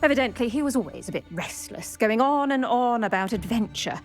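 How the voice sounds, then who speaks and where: An actor voicing an older retired Auror woman who speaks briskly and formally in scripted game dialogue. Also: a little high, expressive, soft background music, natural voice. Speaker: retired Auror guiding a student.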